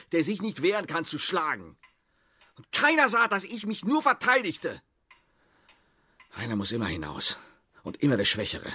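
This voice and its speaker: An actor playing a bitter, defiant homeless brother in a German radio play, declamatory and brooding with sudden outbursts. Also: male voice, on the high side, sharp tone, breathy edge, heavily acted.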